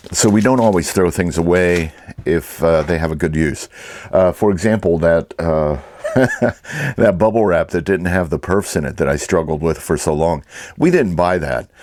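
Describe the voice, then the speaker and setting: A man's voice: sits low, a little mellow. A man giving a casual, rambling and easygoing vlog talk as an online reseller.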